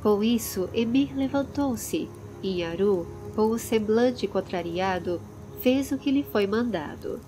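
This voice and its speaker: A woman's voice: medium pitch, expressive, slow pace, dark tone, a little hiss or hum. Brazilian lady reading a fantasy audiobook in storyteller style, some ambient sound going on behind her. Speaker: audiobook narrator. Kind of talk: dramatized fantasy story narration.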